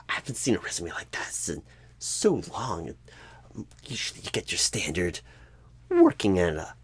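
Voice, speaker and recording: A man playing a clueless, bumbling job recruiter in a comedic ASMR role-play, rambling with odd pauses and repeated words, flustered but eager. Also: a little high, breathy edge, no room sound, heavily acted.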